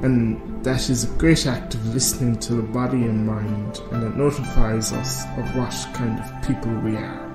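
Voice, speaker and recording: Male actor as judgmental yoga teacher, measured, condescending, radio play, music behind, mid-pitched. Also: steady tone, lightly acted. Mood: patronising. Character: smug, passive-aggressive, judgmental male yoga instructor. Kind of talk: radio drama monologue as guided yoga class. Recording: roomy echo, music behind.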